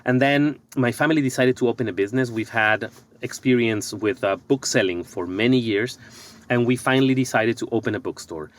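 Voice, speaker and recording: A middle-aged man from Ecuador, an architect and business coach, talking thoughtfully in a podcast interview, pausing and restarting his sentences often. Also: medium pitch.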